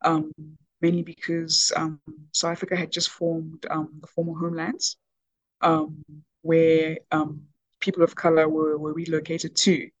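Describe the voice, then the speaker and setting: A woman's voice: low-pitched, completely flat delivery, a little slow, no room sound, low-quality recording. A woman originally from Ghana, speaking as an interview guest with frequent ums and restarts. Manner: hesitant, many ums and restarts. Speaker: podcast guest, business executive. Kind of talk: conversational interview.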